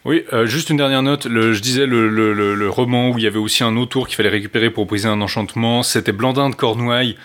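A man's voice: mid-range voice, fairly even intonation, fast talker, few pauses, fairly bright tone. A man co-hosting a podcast, chiming in with brief corrections and side notes on medieval literature. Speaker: podcast co-host. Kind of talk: casual chat about literature.

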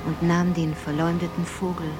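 An actress playing a grave, reflective narrator in a literary radio drama, speaking in a solemn, poetic and measured way. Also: female voice, fairly low pitch, little pitch movement, heavy background noise, lightly acted. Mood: wistful. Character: grave, reflective woman narrator remembering her childhood.

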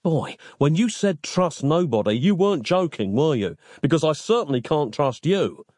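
Male narrator as exasperated everyman, blunt, irreverent, colloquial, very animated pitch, dry studio sound. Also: measured pace, no echo. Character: exasperated, irreverent modern man trapped in his own mind.

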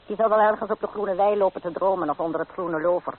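An actress playing a domineering, scolding old grandmother with a sharp tongue in a radio play. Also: mid-range voice, fast, slightly rough, close to an everyday voice. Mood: sarcastic, bossy. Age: older. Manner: commanding, sharp-tongued, sarcastic. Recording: tinny, band-limited old radio play.

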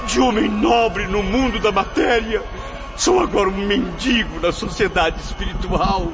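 A proud, desperate ghost cries and pleads, as an actor voices him in a radio play. His voice sits high and grates with gravel. The track sounds muffled under a layer of noise. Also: unhurried, music in the background. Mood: anguished. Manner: anguished, pleading, crying out. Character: tormented male suicide's ghost, proud and desperate. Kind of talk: radio drama acting.

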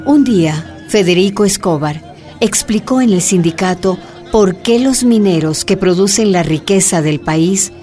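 Una mujer con acento boliviano narra con gravedad una radionovela sobre la lucha minera. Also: female voice, medium pitch, animated, measured pace, over music, close to an everyday voice. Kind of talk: scripted narration of a radio drama.

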